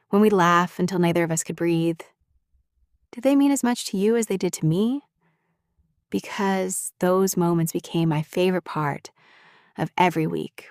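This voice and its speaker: Woman acting as lovesick jealous roommate, lowish voice. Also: darkish tone, no echo, studio quality. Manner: halting, confessional, addressing the listener directly. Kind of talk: intimate ASMR roleplay acting.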